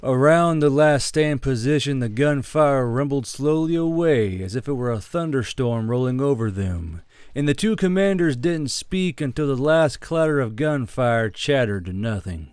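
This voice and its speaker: A male audiobook narrator with a mid-pitched voice and a very dry, close recording. He reads scripted fiction in a dramatic storytelling style. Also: animated, moderate pace, a little mellow, smooth, no room sound.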